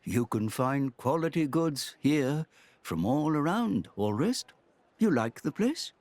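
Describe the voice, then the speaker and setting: An actor playing a courteous, dryly formal gentleman companion in a video game cutscene. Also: fairly high pitch, sing-song, slow, no room sound.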